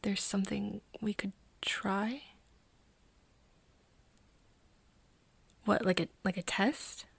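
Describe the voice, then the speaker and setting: A woman playing a caring, teasing young friend of a vampire in an ASMR roleplay, speaking softly and haltingly with whispery breath. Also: mid-range voice, steady tone. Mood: tentative, curious. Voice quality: soft, breathy, often dropping into whispers. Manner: hesitant, halting, gently coaxing. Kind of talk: ASMR roleplay, intimate second-person acting.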